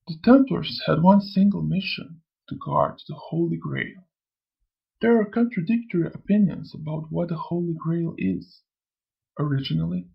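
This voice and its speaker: A man voicing a sly, amused old spirit in a motion comic, lecturing theatrically in a gravelly, husky voice. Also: fairly high pitch, highs cut off, heavily acted. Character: sly, amused old male spirit who preaches and taunts. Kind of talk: scripted motion comic dialogue.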